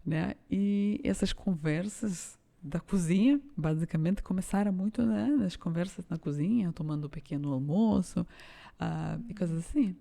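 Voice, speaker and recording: A woman's voice: lowish voice, normal speed, gravelly, mellow. A Lithuanian-born woman, co-hosting a podcast and telling stories in a casual, hesitant way as she searches for words. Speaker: podcast co-host. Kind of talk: casual conversational storytelling.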